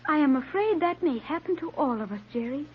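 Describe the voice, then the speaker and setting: A woman's voice: high voice, lively intonation, a little fast. An actress playing an earnest, solemn girl with formal, precise diction in an old-time radio serial. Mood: solemn, worried. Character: earnest, solemn girl raised apart from the world. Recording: muffled vintage radio recording.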